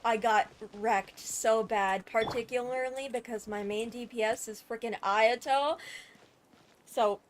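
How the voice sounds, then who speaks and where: A woman's voice: on the high side, steady tone, measured pace, slight background noise. A woman casually commentating as she streams a video game, playful and prone to little sing-song noises. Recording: game music behind.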